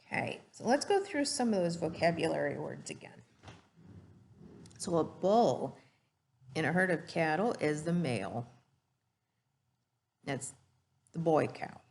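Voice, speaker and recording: A woman's voice: low voice, animated, measured pace, gravelly, pauses often, a little mellow, a little hiss or hum. A woman reading a storybook aloud and teaching children, explaining simply and putting questions to her young listeners.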